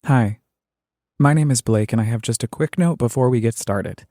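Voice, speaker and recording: A man hosting a podcast, reading scripted, reflective narration in a measured, earnest way. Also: mid-range voice, dark tone, no echo, studio quality. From United States.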